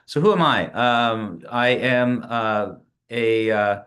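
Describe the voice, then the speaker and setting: A man in his forties, a PhD student, walking through a slide presentation of his learning plan in a measured way, with frequent pauses and restarts. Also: medium pitch, a bit bright. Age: 40s. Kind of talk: presentation narration over screen share.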